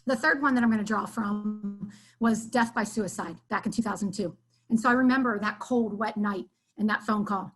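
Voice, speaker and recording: A woman's voice: mid-range voice, moderate pace, husky, soft background music. Woman coach, heartfelt and reflective, filler-heavy delivery, video call sound. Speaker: softball coach. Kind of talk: panel remarks over video call.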